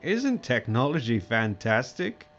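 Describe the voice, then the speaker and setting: Man playing a pompous, lively company boss. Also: medium pitch, a little slow, highs cut off, a little hiss or hum. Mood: smug, bossy. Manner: grandiose, breezily dismissive. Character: pompous, self-absorbed male company boss. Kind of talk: audio drama acting.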